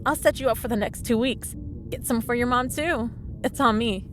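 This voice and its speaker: A woman's voice: a little high, normal speed, over music, lightly acted. A woman playing a cheeky, smug female villain in a comedic audio roleplay, with breezy, sarcastic teasing. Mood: excited, friendly. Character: cheeky, smug woman supervillain, frequent hospital patient.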